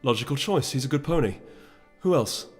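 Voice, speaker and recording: A man voicing a brisk, direct pony officer in a fantasy audiobook. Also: mid-range voice, expressive, slightly rough, faint music behind, pitched above the performer's own voice. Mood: impressed, curious.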